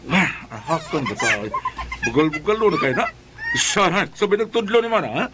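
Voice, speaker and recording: A man's voice: sits high, very animated, a little fast, heavy background noise. A man playing a mild, long-suffering husband and exasperated father, narrating and acting in a comedic radio drama.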